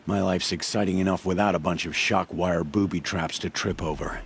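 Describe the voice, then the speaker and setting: Low-voiced, cranky trader. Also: male voice, fairly even intonation, normal speed, vocal fry, faint music behind. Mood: grudging, wry. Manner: sardonic, grumbling, offhand. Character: cranky, wry male village trader dealing illicitly with outcasts. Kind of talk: video game cutscene acting.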